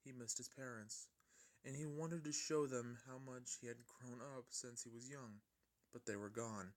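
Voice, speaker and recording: A man's voice: medium pitch, fairly even intonation, moderate pace. A man narrating a fan fiction story in an amateur style, often stumbling and rereading his lines.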